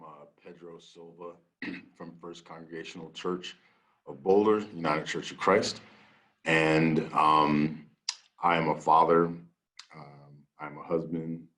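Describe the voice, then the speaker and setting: Very deep-voiced, deliberate male minister. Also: little pitch movement, fairly bright tone. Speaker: minister, guest. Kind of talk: reflective talk and spoken prayer.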